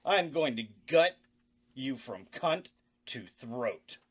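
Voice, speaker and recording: An actor voicing a crude, tormented slasher killer at a table read, menacing and then desperate. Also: male voice, mid-range voice, sing-song, slow pace, fairly bright tone, breathy edge, heavily acted.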